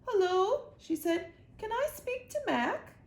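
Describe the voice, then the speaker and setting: A woman's voice: very high-pitched, very animated, slow, a little mellow, pitched above the performer's own voice, exaggerated voice. A woman voicing a haughty, commanding Queen of England with an imperious, formal manner for a children's storybook. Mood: regal. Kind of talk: children's storybook read-aloud. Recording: roomy echo, clear background noise.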